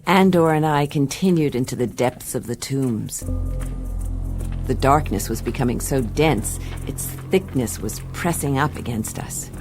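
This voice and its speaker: A woman's voice: a bass voice, a little slow. An actress playing a tough, wry female adventurer who narrates matter-of-factly in a science-fiction radio play. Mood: tense, suspenseful. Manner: matter-of-fact, wry narration with conversational asides. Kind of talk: radio play acting, first-person narration. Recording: loud music behind voice.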